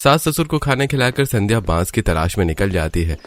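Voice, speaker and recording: A man's voice: on the low side, big pitch swings, fairly quick, soft background music. A man narrating a scripted cartoon storybook tale as the narrator.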